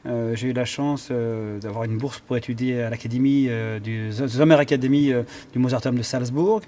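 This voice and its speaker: A man's voice: medium pitch, steady tone, moderate pace, mellow. A man who conducts and plays cello, answering interview questions in a thoughtful way, with many fillers and restarts as he searches for words. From Iran. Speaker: conductor and cellist.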